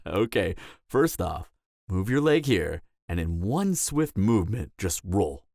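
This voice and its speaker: Cocky flirty man playing a vampire mentor, animated delivery. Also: sits low, takes it slowly, dry recording, clean studio sound, heavily acted. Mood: flirty, goading. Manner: teasing, lecturing, sardonic. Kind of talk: dramatic audio roleplay acting.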